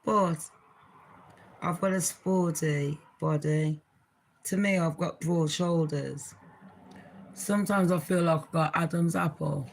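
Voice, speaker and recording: Deep-voiced blunt woman. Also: steady tone, slow, gravelly, pauses often, a little hiss or hum, poor audio. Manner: blunt, swearing, chatty with laughs. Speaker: channel host. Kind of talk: casual reaction commentary.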